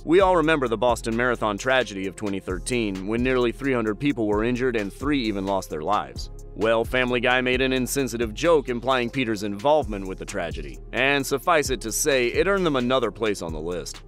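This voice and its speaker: A man narrating a scripted countdown at a middling pitch, dropping wry asides between entries. Faint music sits under his voice. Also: expressive, brisk, hardly any pauses, a bit bright.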